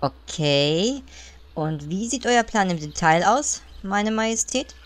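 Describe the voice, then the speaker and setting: A woman's voice: medium pitch, lively intonation, slow pace. A woman playing a dutiful, slightly naive servant of a queen in a parody audio drama, deferential with dry asides.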